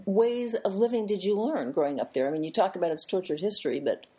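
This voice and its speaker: Woman interviewer, mellow and a bit husky, curious, keeps asking follow-ups and saying yes, mm-hmm. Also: medium pitch, quick pace. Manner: curious, asks probing follow-ups, frequent affirming interjections. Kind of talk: conversational interview.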